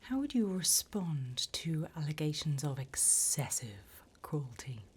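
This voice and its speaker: A woman playing a wickedly amused, businesslike female demon interviewer in a breathy, whispered ASMR roleplay. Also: low voice, very animated, slow, full character voice. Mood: businesslike, teasing.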